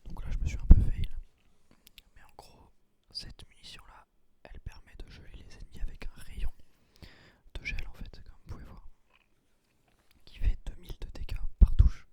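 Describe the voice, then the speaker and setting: Guy doing asmr game commentary, breathy whisper, really soft dark tone, casual explaining. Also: moderate pace. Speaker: gaming video creator.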